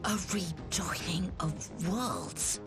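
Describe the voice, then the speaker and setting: A woman's voice: lowish voice, very animated, extremely slow, over music, exaggerated voice. A woman playing an alarmed, outspoken young heroine in a fantasy video game cutscene. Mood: wary, shocked.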